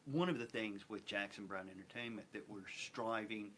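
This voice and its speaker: A man speaking earnestly as an interview guest, with a deliberate, emphatic way of repeating phrases for effect. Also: fairly low pitch, a bit bright. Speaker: guest, theater company representative. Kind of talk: earnest panel interview talk. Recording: clearly noisy background.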